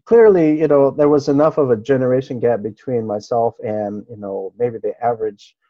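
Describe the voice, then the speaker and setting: A man's voice: mid-range voice, normal speed, no room sound, lo-fi sound. A middle-aged man from Okinawa whose first language was Japanese, recalling his childhood as an interview guest in an easygoing, hesitant way with lots of fillers. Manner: easygoing, hesitant, with frequent fillers and soft laughs. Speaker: interview guest, martial artist. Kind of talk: relaxed reminiscing interview talk.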